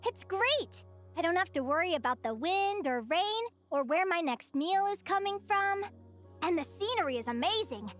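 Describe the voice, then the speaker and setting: A very high, swooping young woman's voice plays a cheerful adventurer in a video game. She is curious and bubbly, earnest about the natural world, and expressive in every phrase. Soft music plays behind her. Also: moderate pace. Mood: cheerful, excited. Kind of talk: animated video game character acting.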